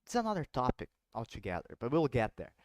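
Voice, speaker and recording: A man hosting a podcast, talking in relaxed, rambling English with frequent asides and an occasional swear. His voice sits at an ordinary middle pitch. The recording is dry with no room echo. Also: quick pace. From South Brazil. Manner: loose, swearing, explains with many asides. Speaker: podcast host. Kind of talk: casual chat.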